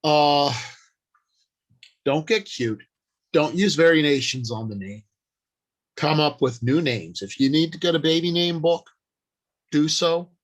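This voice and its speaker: A man with a slightly higher-than-average voice talks at a slow, deliberate pace. He explains in a plain-spoken, informal teaching style, improvising around notes and putting emphasis on the points that matter. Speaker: writer and convention panel speaker. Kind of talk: informal instructional talk, partly improvised from notes.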